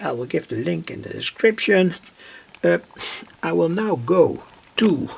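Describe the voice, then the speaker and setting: Gravelly Dutch-accented man demonstrating gear. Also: high-pitched, darkish tone. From Netherlands. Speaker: electronics hobbyist demonstrator. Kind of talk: casual unscripted demonstration. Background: shortwave radio playing stations.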